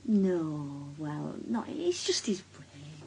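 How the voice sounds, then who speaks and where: A woman's voice: deep voice, big pitch swings, slow, gravelly, warm tone, a little hiss or hum, full character voice, low-quality recording. An actress playing an anxious, defensive working-class mother with a Northern English accent in a naturalistic radio drama, speaking hesitantly and evasively. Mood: weary, bitter.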